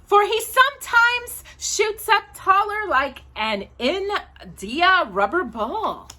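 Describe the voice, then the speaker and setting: A woman hosting a children's storytime, reading aloud in an animated, sing-song manner. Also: extremely high pitch, slow pace.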